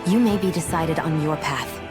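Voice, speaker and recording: A woman's voice: deep voice, moderate pace, close to an everyday voice. Woman playing a frank, thoughtful game heroine. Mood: concerned. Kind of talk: dubbed video game cutscene acting. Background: clear background music.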